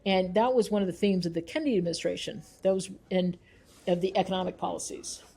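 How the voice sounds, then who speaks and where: Woman researcher with a mellow, earnest delivery. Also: fairly low pitch, quick pace, creaky, husky. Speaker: researcher and author being interviewed. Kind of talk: thoughtful interview talk.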